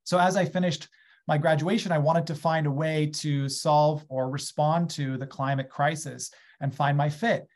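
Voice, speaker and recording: A man's voice: fairly high pitch, fairly even intonation, moderate pace, a little mellow. A man in his thirties with an American accent, speaking as an invited guest on a webinar, enthusiastic and gesturing as he talks over a video call from a small room. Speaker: guest speaker, agriculture company founder. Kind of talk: conversational webinar talk. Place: small room at home, indoors. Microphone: webcam on a video call.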